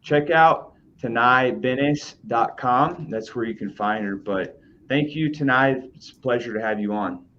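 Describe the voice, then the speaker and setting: A man's voice: medium pitch, measured pace, a bit bright, slight background noise. A man hosting a podcast, asking casual, friendly questions with some fillers. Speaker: podcast host. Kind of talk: interview questions. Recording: dull lo-fi sound.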